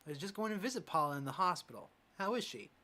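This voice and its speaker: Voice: mid-range voice, a little fast, fairly bright tone, lightly acted. A performer voicing a polite, earnest male doctor asking questions in a fan dub. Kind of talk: amateur fan dub of a medical drama.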